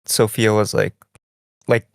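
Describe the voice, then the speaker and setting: Laid-back young male podcast co-host, gravelly and flat, lots of "like.". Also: on the low side, slow, dry recording, studio quality. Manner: laid-back, heavy use of filler "like". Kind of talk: casual chat. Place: small bedroom, lying in bed.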